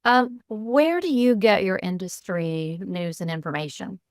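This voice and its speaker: A woman hosting a podcast interview, asking questions in a measured, supportive way. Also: medium pitch, dry recording, clean studio sound.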